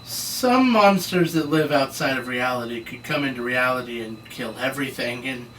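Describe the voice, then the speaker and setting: A man's voice: medium pitch, animated, moderate pace, a bit bright, natural voice. A man reading a dry, weary talking cat in an amateur drama table read. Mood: grave, dry. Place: room, read aloud at a table. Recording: distant room mic, faint noise.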